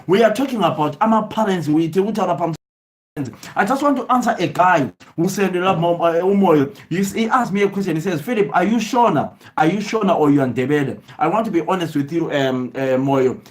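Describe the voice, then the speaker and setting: Male live-stream host from Zimbabwe, slightly raised pitch, chatty, code-switching. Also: normal speed. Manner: greets viewers by name, mixes English and Ndebele. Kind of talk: casual live stream chat. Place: away from home.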